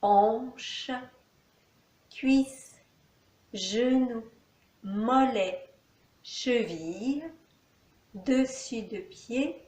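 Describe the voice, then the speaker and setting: Very slow-speaking woman guiding relaxation. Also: on the high side. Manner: calm, soothing, unhurried, with long pauses. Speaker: yoga nidra teacher. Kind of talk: guided relaxation, calm spoken instructions. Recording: echoey, reverberant room.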